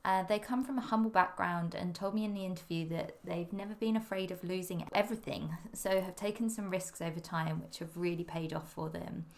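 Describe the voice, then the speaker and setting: A woman's voice: fairly low pitch, a little fast, gravelly, few pauses. A woman hosting a fashion podcast, chatty and upbeat as she introduces her guests. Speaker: podcast host.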